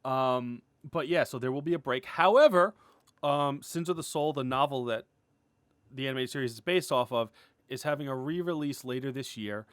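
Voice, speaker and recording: A man's voice: medium pitch, moderate pace, clean tone, no room sound. A man talking casually and enthusiastically in an unscripted, rambling update, informal and peppered with "like", as an indie creator addressing followers. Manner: enthusiastic, gushing; rambling, informal, heavy use of "like". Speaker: indie animator, writer and series creator.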